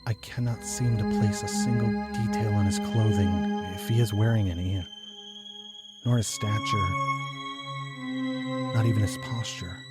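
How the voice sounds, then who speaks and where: An actor playing a brooding, obsessive homicide detective, narrating a noir audio drama in a clinical, deliberate manner. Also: male voice, fairly low pitch, steady tone, over loud music, close to an everyday voice. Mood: clinical, grim. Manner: clinical, deliberate, measured delivery. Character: brooding, obsessive male homicide detective recounting grim cases.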